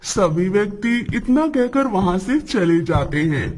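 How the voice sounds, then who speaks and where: A man's voice: high-pitched, very animated, a little slow. A man reading scripted narration for a cartoon moral story.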